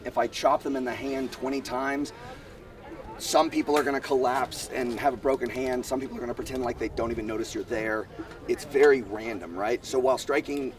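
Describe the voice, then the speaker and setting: A man explaining fighting strategy at a seminar while losing his voice, rambling in quick bursts. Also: medium pitch. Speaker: combat coach. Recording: distant mic outdoors, faint traffic.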